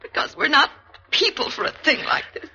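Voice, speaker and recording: A woman's voice: high-pitched, sing-song, moderate pace, pushed and bright, slight background noise, full character voice. A woman playing a frightened, reluctant wife in a 1940s radio mystery, pleading anxiously. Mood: reluctant, despairing. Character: frightened, reluctant wife drawn into her husband's scheme. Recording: muffled vintage 1940s radio recording.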